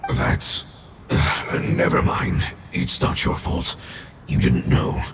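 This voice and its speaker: An actor playing a weary, dying game creature with a breathy rasp, speaking solemnly and haltingly with selfless formality. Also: male voice, background noise, lightly acted. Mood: alarmed, cautioning. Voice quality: gravelly, breathy, weakened rasp. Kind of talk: scripted video game dialogue. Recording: echoing voice under game music.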